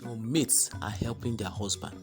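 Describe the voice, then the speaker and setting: Woman voicing a low, scornful husband. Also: moderate pace, darkish tone, faint music behind. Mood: scornful, berating. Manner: contemptuous, berating. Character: cruel, scornful husband berating his wife. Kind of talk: storybook character voice in a folktale.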